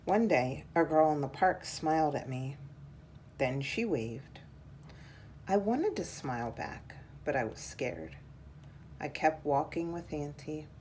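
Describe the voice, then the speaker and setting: A woman reading a children's picture book aloud in a measured, deliberate storytelling style. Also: low voice, sing-song, darkish tone, a little hiss or hum.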